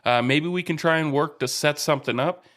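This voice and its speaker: A man's voice: a little high, a little fast, a bit bright. A man co-hosting a podcast, reading listener emails and teasing his co-host in casual chat. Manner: easygoing, teasing.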